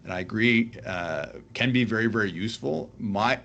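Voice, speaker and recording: A man from Canada hosting a casual panel discussion, making his points in a measured way. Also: fairly low pitch, vocal fry, a bit bright, lo-fi sound, slight background noise. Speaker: host, former intelligence officer.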